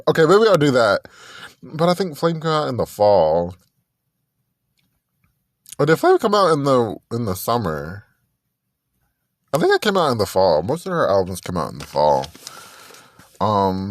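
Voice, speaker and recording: A man in his twenties chats through a solo podcast episode in a loose, rambling way, often correcting himself mid-sentence. His pitch swings widely as he talks. The close mic gives a dry sound with no room echo. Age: 20s. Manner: chatty, rambling, self-correcting, sings snatches of songs. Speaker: podcast host. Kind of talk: casual solo podcast chat.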